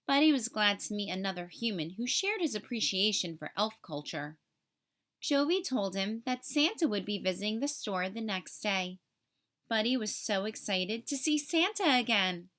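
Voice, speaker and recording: A woman reading a scripted story aloud for children, expressively and with clear phrasing. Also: mid-range voice, sing-song, moderate pace, fairly bright tone. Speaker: storybook reader.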